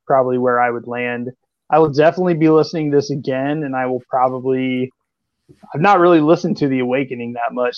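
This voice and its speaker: A man's voice: medium pitch, dry recording, lo-fi sound. A man co-hosting a casual music podcast, rambling and hesitant with many fillers. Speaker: podcast co-host.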